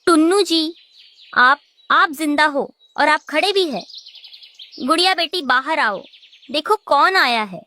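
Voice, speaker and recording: A woman's voice: sits high, lively intonation, measured pace, pauses often, pushed and bright, full character voice. A woman voicing an earnest, devoted and anxious mother bird in a cartoon. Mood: amazed. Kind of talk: Hindi cartoon voice acting.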